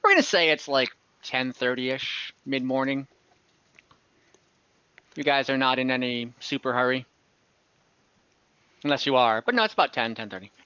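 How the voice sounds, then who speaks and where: A man acting as game master, casually narrating a scene to friends and feeling for his words in a halting way, over an online voice chat. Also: medium pitch, animated, sharp tone, clean tone, dry recording, highs cut off. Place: online group voice call.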